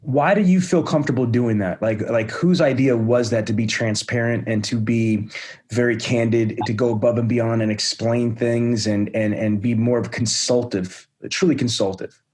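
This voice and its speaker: Energetic man hosting a podcast. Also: medium pitch, normal speed. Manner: energetic, enthusiastic, rambling praise. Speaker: podcast host, sales trainer.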